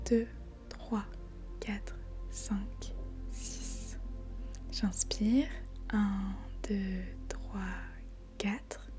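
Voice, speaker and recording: A woman guiding a body scan meditation in a soft, breathy, near-whispering voice, calm and soothing, in short phrases with long pauses. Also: medium pitch. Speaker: meditation guide. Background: faint background music.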